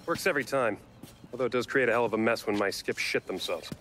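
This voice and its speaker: An actor playing a crude, wisecracking bounty hunter in a video game cutscene, with a glib, mocking manner. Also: male voice, medium pitch, quick pace, a little hiss or hum, lightly acted. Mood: cocky. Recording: loud music behind the voice. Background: loud game score.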